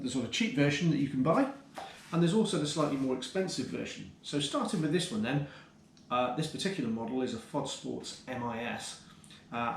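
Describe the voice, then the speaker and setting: British man, casual gear review, mid-pitched voice, conversational and enthusiastic, roomy echo, faint noise behind. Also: gravelly. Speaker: motorcycle vlogger reviewing gear. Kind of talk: casual product review to camera. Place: indoors, in a kitchen.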